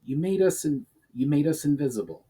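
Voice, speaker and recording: A man voicing a proud, sharp-tongued noblewoman in a storybook reading, indignant and demanding. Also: fairly high pitch, lively intonation, fairly quick, husky, voice pushed up. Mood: puzzled, demanding.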